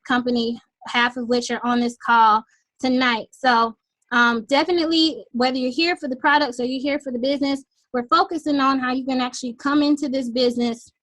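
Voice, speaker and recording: Upbeat high-voiced woman, slightly raspy. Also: a bit bright, dry recording. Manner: enthusiastic, frequent "um" fillers. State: losing her voice, slightly raspy. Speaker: host of a team sales call. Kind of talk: upbeat sales pitch on a conference call.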